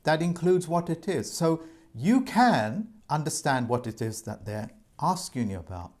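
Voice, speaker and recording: A man's voice: on the high side, sing-song, measured pace, a little mellow. A man giving viewers earnest, repetitive advice in an informal monologue, addressing them as folks. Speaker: presenter giving debt advice.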